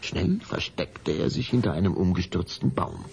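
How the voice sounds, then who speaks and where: A man narrating a German children's radio play as a measured, suspenseful storyteller. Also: sits low, animated, gravelly, darkish tone, a little hiss or hum.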